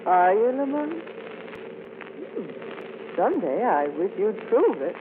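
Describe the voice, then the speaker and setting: An actress playing a sleuth's eager, affectionate lady companion, expressive and inquisitive, in 1940s radio drama style. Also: medium pitch, takes it slowly. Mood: tender, coy. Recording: tinny, noisy 1940s radio recording.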